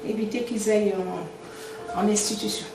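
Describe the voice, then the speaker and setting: A woman's voice: medium pitch, level tone, moderate pace, background noise. A woman giving an interview testimonial as a home care worker, speaking in a plain, conversational way. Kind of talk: interview testimonial about their job. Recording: echoey room, distant mic, music bed. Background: music.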